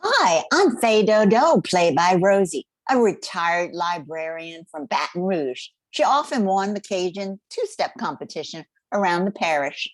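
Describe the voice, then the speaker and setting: A woman's voice: lowish voice, sing-song, measured pace, hardly any pauses, no echo. An older woman playing a sociable retired librarian and dance champion in an amateur radio play. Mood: friendly introduction. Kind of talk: amateur radio drama reading.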